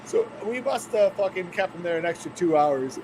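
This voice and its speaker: A man's voice: high voice, expressive, normal speed, darkish tone, slight background noise, rough recording. A man, a wrestler co-hosting a podcast, telling profane stories and laughing often. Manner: laughing, swearing storyteller. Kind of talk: casual profane chat.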